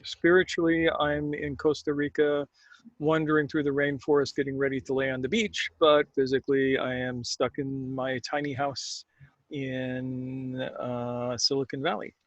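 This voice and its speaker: A man who co-hosts and tells stories, speaking in an expressive, measured way with dramatic pauses. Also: mid-range voice, dry recording, muffled recording. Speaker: storyteller and co-host. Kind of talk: expressive story reading.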